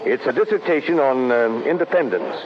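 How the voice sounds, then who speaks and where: A man's voice: medium pitch, expressive, fairly quick, natural voice. An actor playing an earnest, conciliatory colonial statesman in an old-time radio drama, speaking politely and formally. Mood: measured. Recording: dull old radio recording, crowd noise behind.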